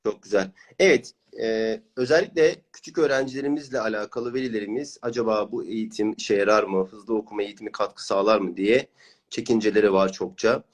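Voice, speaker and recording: A man's voice: lowish voice, fairly quick. Encouraging man hosting an interview. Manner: encouraging, praising. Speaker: host, speed-reading coach. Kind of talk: interviewing clients, explaining a course.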